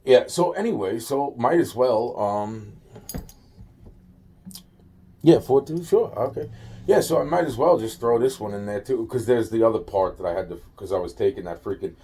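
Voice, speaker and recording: A man giving a rambling, informal monologue to camera, halting and repetitive, often trailing off and circling back, with slangy, profane wording. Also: medium pitch, lively intonation, mellow, slightly rough. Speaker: solo video maker.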